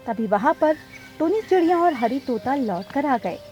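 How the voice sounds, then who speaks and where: Lady narrating a kids cartoon tale, really high lively voice, bit of music behind. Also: normal speed, muffled recording. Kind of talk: scripted children's cartoon story narration.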